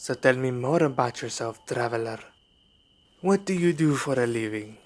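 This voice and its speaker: A man playing a suave, sinister vampire in an ASMR roleplay, speaking formally and courteously with quiet menace. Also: mid-range voice, moderate pace, close to an everyday voice. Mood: politely evasive. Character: suave, sinister male vampire luring a traveler. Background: night forest ambience.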